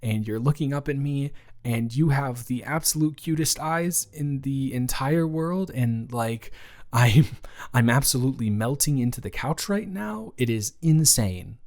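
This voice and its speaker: Male roommate , played by a man, nervous and besotted. Medium pitch, stammering, rambling delivery for an intimate improvised romance audio. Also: lively intonation, darkish tone. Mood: smitten, flustered. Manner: stammering, rambling, giddy, halting. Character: nervous, smitten male roommate confessing love. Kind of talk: improvised romantic roleplay audio, intimate one-sided conversation.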